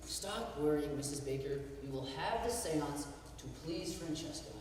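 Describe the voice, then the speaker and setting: An actor on stage playing a skeptical young man who brushes off talk of ghosts in a brisk, dismissive way. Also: on the high side, lively intonation, darkish tone, slight background noise, lightly acted. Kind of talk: amateur stage acting. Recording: echoey hall from far off.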